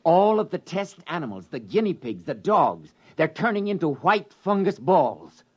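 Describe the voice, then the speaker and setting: A blunt, wry expedition leader. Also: male voice, fairly high pitch, sing-song, normal speed, husky, dull audio. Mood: alarmed. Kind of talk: 1950s radio drama acting.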